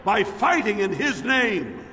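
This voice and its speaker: Reverb from a vast hall carries a dwarf arena master from a fantasy game. He declaims formally and grandly at an unhurried pace, pitched very high for a man. Music plays behind him. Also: big pitch swings, pushed and bright, slight background noise, exaggerated voice. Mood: grand, rousing. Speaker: arena announcer. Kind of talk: theatrical fight announcing in a fantasy game.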